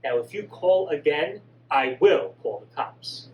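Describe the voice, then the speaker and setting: A man voicing a grieving middle-aged mother, emphatic and sharp when scolding. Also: high voice, sing-song, unhurried, echoey room. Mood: angry. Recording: distant mic with faint hum.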